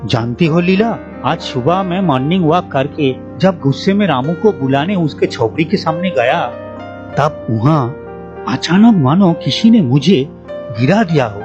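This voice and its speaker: Guy doing a cartoon village dad, uneasy, highish lively voice, dull dry recording, bit of music. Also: measured pace. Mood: puzzled, uneasy. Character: well-off village father, bad-tempered but uneasy. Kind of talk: animated story dialogue. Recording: dull lo-fi studio track, faint music.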